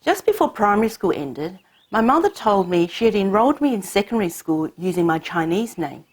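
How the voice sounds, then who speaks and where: A woman raised in Hong Kong whose first language is Cantonese, telling her family's story in measured, reflective scripted narration. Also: medium pitch, very animated, slightly rough. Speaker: narrator of her own short film.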